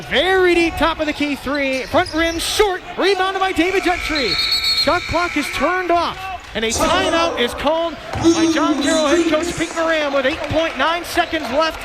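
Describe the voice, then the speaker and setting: High-voiced man calling basketball on radio. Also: heavy background noise. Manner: rapid, punchy call of the action. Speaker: play-by-play announcer. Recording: live arena broadcast with crowd and music.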